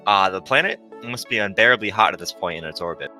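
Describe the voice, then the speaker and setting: A man's voice: on the low side, animated, fast talker, bright, forceful tone, soft background music. A man voicing a polite, chatty, unflappable AI shuttle navigator in a visual novel, with courteous, understated delivery. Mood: curious, chatty. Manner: courteous, formal, soothingly understated. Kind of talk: visual novel character reading.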